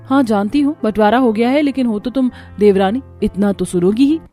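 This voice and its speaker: A woman's voice: fairly high pitch, a little fast, dark tone, soft background music, natural voice. A woman voicing a resentful, bossy elder sister-in-law in a Hindi cartoon story, sarcastic and fond of giving orders.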